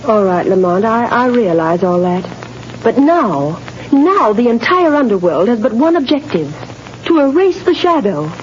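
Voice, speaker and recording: A woman's voice: fairly high pitch, very animated, unhurried. An actress playing an earnest, refined woman who anxiously implores, in 1930s radio drama style. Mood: worried, pleading. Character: worried, earnest society woman pleading with her beloved. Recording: dull, noisy 1930s radio recording.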